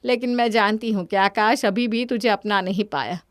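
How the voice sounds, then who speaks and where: A woman plays a caring older mother-in-law in a cartoon drama. Her voice is mid-pitched and protective, with a very dry, close sound. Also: moderate pace, dry recording, natural voice. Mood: affectionate. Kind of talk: Hindi cartoon moral-story voice acting.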